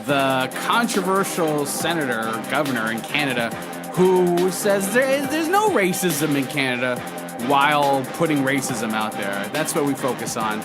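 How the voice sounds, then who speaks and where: A man with a fairly high voice opens a podcast episode in a loose, chatty way, stumbling over fillers. Loud music plays under him the whole time. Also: expressive, normal speed. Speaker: podcast host. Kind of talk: show intro.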